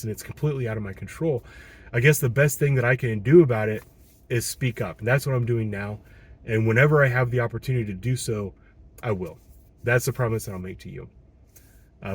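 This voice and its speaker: A man in his thirties with a Midwestern American accent, a patrol police officer talking on his own in a frustrated, earnest way, hoarse as he loses his voice, with frequent ums and restarts. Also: mid-range voice, fast. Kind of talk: solo monologue commentary.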